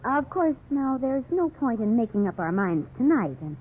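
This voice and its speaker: A sensible young wife in an old radio drama. Her voice sits fairly high and moves expressively, polite and careful. The sound is muffled, narrow and faintly noisy. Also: very animated, normal speed. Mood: thoughtful. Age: young adult. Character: sensible, cautious young wife buying a home. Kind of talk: 1950s radio drama acting.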